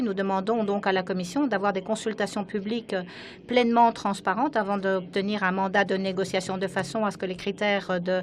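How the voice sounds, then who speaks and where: A woman giving simultaneous interpretation of speeches at a parliamentary conference, often pausing with hesitant fillers. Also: mid-range voice. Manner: frequent hesitant fillers while keeping up with speakers.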